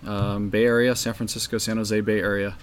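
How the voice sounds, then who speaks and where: A man in his forties from California, speaking in a low voice. He answers interview questions casually, in short matter-of-fact sentences with fillers. Faint noise hums in the background. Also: little pitch movement, fairly quick. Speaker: guest, travel blogger.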